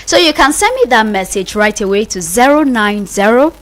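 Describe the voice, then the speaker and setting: A woman's voice: fairly high pitch, animated, normal speed. Nigerian lady radio host, chatty and informal, explains stuff step by step, repeats herself. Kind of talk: chatty live radio presenting. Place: radio studio.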